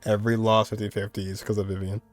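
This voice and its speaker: A man's voice: on the low side, little pitch movement, fast talker, faint music behind. A man giving casual reaction commentary on game cutscenes, slangy and full of exclamations.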